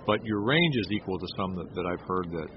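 Low-voiced middle-aged interviewer, rambling. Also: male voice, lively intonation, fairly quick. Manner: rambling, complimentary questions. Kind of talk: casual interview talk. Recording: dull lo-fi audio with clear noise.

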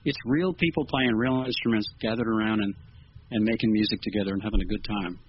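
A man's voice: fairly low pitch, steady tone, quick pace. Earnest man, musician guest. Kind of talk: casual interview chat. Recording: dull lo-fi with clear noise.

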